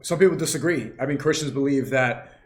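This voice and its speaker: Relaxed, hesitant man host. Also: medium pitch, a little fast. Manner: relaxed, hesitant, asks questions. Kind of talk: casual conversation.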